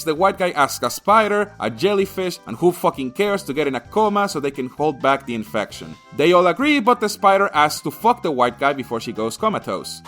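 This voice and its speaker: A man's voice: a little high, a bit bright. A man narrating a scripted comedic video game review in a crude, sarcastic, sweary manner.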